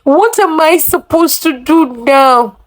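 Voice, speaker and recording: A woman storyteller voicing a devout, grief-stricken mother who questions and pleads in drawn-out phrases. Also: sits high, voice pushed up. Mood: anguished, pleading.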